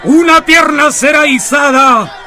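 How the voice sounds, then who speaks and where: High, shouting, stern colonial official. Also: male voice, measured pace, faint music behind, a faint crowd, exaggerated voice. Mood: stern, proclaiming. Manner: declamatory, shouted, solemn. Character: stern Spanish colonial official proclaiming a death sentence. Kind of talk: radio drama acting, proclamation.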